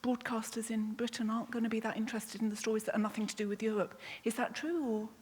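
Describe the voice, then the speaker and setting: A woman with a husky voice puts an informal question to a panel from the audience. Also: a little high, fairly even intonation, quick pace, darkish tone. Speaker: audience member. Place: large panel hall, public address microphone. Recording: echoey hall with long reverb.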